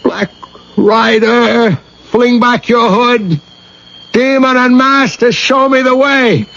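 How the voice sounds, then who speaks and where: An actor playing an obsessed, pleading young student of dark mysticism, with fervent, theatrical delivery in a radio drama. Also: male voice, very high-pitched, slow, gravelly, exaggerated voice. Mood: feverish triumph. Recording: muffled vintage radio drama recording.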